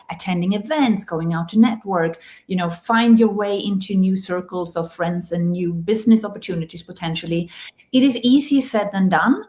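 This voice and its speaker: A woman hosts a live online talk from a video call, speaking at a medium pitch. She greets the audience and frames questions, often restarting words mid-phrase. Her manner is friendly and engaged. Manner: warm, slightly halting, repeats words. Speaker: host.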